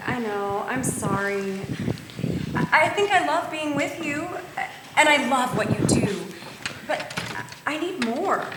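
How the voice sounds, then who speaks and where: An actress plays a restless young woman hungry for more, in a musical staged reading. Her voice runs very high and unhurried. The live room is echoey. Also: animated, heavy background noise. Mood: apologetic. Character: restless, ambitious young woman craving more urgency, farmer's girlfriend. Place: live performance venue, picked up in the room.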